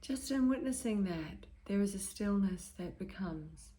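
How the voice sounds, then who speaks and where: Very slow, calm woman guiding meditation. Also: medium pitch. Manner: calm, gentle, measured, with long pauses between short phrases. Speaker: meditation guide and healer. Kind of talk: guided meditation, slow and soothing.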